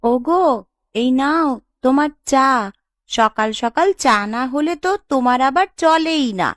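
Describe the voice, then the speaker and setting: Lady doing a sulky young cartoon wife, high voice, plaintive n coaxing, dry sound. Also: a little slow, no echo. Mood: sulky, wistful. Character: sulky, wistful young wife longing for home. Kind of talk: cartoon voice acting.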